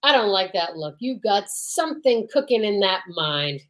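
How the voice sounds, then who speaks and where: A woman's voice: mid-range voice, very animated, moderate pace, a bit bright. A woman playing a blunt, stern, suspicious male police detective in an old-time radio drama. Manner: blunt, warning.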